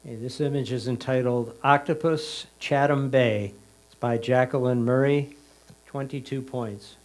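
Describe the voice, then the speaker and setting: A man's voice: mid-range voice, steady tone, a little slow, a little mellow. A man plainly announcing entries and scores at a competition. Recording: faint mains hum.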